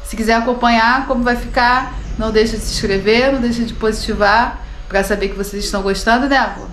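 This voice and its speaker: A Brazilian woman in her thirties, hoarse from a sore throat, chatting to viewers from her phone at arm's length inside a house under renovation. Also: fairly high pitch, steady tone, quick pace, fairly bright tone. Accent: Brazilian Portuguese. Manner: chatty, addresses viewers often. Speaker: vlogger. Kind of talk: casual home vlog. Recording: echoey room with clear background noise.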